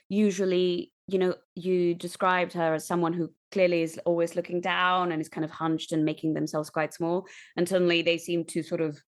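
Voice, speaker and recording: A woman playing a tabletop roleplaying game, casually describing what her character does. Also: on the low side.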